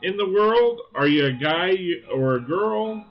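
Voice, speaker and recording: Slow-talking man, a little raspy. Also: high-pitched, expressive, dull audio. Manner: rambling, thinking aloud with many fillers; friendly greetings to chat viewers. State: slightly raspy voice. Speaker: livestream host. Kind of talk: casual live-stream chat while building.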